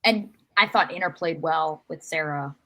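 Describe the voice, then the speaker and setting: A woman's voice: sits low, moderate pace, pushed and bright. A woman co-hosting a podcast, giving casual, conversational soccer analysis. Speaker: podcast co-host.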